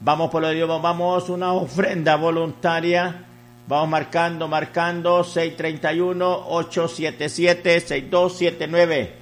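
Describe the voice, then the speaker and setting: Higher-voiced man making a repetitive radio appeal. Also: flat delivery, measured pace, a little hiss or hum. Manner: repetitive, chanting urging, reciting phone numbers. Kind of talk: live radio fundraising appeal.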